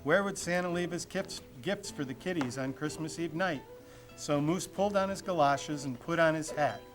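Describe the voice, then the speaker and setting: Man, storybook reader, slightly high voice, rhyming children's tale, clear music behind. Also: moderate pace, low-quality recording.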